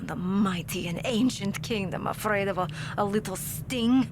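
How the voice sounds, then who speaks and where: A woman's voice: mid-range voice, moderate pace, background noise, lightly acted. An actress playing a tough, indignant female arms dealer in a video game, blunt and demanding. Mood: demanding, irritated.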